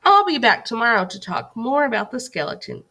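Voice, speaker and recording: A woman's voice: fairly high pitch, sing-song, fairly quick, pushed and bright. A woman teacher reading a scripted lesson aloud for young children in an instructive way, putting questions to her listeners.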